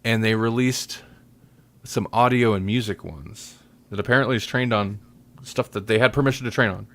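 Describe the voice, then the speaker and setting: Gravelly, low-voiced man chatting casually. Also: normal speed, fairly bright tone. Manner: rambling, loosely searching for words. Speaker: podcast host. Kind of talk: casual chat.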